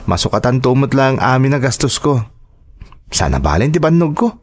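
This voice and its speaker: Young Filipino son, earnest and reassuring, mid-pitched male actor, natural audio drama dialogue. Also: big pitch swings, moderate pace. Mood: earnest, dutiful. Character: earnest, reassuring grown son planning to work abroad.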